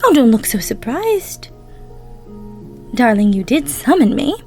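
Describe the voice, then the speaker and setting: A woman playing a tender, emotional goddess of love in an intimate roleplay audio, cooing soothingly in a breathy, near-whispered voice. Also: a little high, big pitch swings, measured pace, faint music behind. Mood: gracious, welcoming. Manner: soothing, cooing, coddling with pet names.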